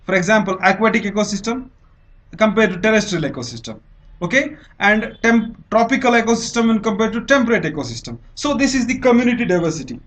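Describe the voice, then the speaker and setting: A man with a distinctly high voice and an Indian accent speaks as a teacher. He explains step by step in a didactic way, repeating phrases and pausing to check understanding. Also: fairly bright tone. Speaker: teacher, lecturer. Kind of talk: explanatory exam-prep lecture.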